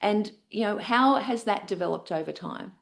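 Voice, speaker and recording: A woman's voice: mid-range voice, expressive, normal speed, creaky. A woman from Australia with an Australian accent hosting an interview, effusive and full of fillers and restarts. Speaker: podcast host.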